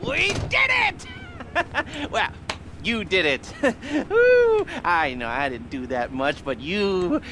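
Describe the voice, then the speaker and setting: A man's voice: gravelly, noisy background, exaggerated voice. An actor playing a jittery, heavily medicated male heist planner in a video game, rambling and laughing nervously. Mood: giddy. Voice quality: nervous, rambling, giggly, manic swings in pitch. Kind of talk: video game cutscene acting.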